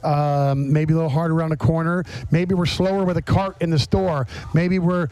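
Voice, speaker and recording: A man hosting a podcast in candid, conversational talk, his phrases broken up by pauses. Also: fairly high pitch, steady tone, mellow, no room sound, rough recording. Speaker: podcast host.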